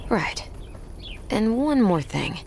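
A woman's voice: medium pitch, big pitch swings, slow, vocal fry, a little mellow, slight background noise, full character voice. An actress playing a bold, level-headed female outlaw in a western video game, speaking with firm composure. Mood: calm, firm. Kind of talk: video game cutscene dialogue.

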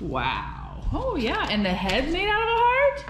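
A woman's voice: very high voice, huge pitch swings, unhurried, noisy background. A woman in her thirties chatting casually with family and to a camera in a large room at home. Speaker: family vlogger, mother. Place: large open-plan living room and kitchen, indoors.

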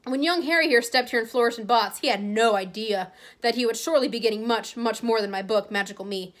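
A woman's voice: on the high side, quick pace, lightly acted. A woman voicing a vain, showy male celebrity wizard making a grand theatrical announcement. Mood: grandiose.

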